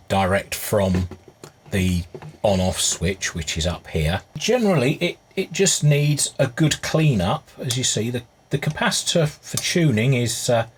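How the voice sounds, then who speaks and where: A man with a husky voice giving casual, unscripted hands-on repair commentary, musing aloud as he works. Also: lowish voice. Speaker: hobbyist restorer presenting his repair.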